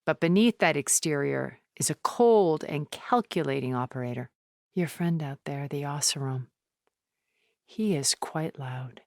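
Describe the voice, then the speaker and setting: An actress playing a poised, cultured, wealthy woman in a video game, speaking in a measured, formal, refined way. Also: deep voice, vocal fry, darkish tone, no echo, studio quality. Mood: cool, explanatory. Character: poised, cultured, wealthy woman, a thousand years old, sounding middle-aged. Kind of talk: video game character dialogue.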